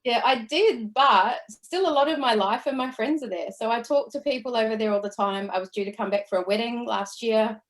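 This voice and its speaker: A woman's voice: fairly high pitch, brisk, hardly any pauses. A woman in her forties with a New Zealand accent, chatting easily as an interview guest and telling stories about her career. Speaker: guest, entrepreneur. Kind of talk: casual interview chat.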